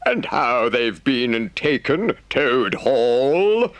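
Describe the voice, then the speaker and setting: A man's voice: medium pitch, big pitch swings, very slow, no echo, pitched above the performer's own voice. A man voicing an earnest, indignant water rat in an audiobook, emphatic and dramatic as he speaks to a friend. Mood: agitated. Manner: emphatic, scolding, dramatic storytelling. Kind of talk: audiobook character voice.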